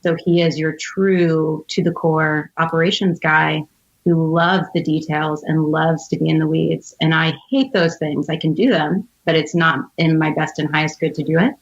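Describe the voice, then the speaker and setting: A woman's voice: deep voice, level tone, normal speed, highs cut off. An American woman in her thirties telling personal stories in an articulate, reflective, conversational way as an interview guest. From United States, outside Philadelphia. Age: 30s. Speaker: podcast guest, entrepreneur.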